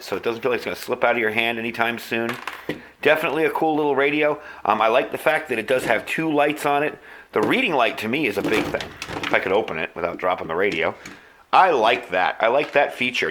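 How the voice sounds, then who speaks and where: A man's voice: mid-range voice, a bit bright. A man giving a casual, conversational hands-on product review indoors. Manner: conversational, informal. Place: indoors, small room at a tabletop. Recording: clear background room noise.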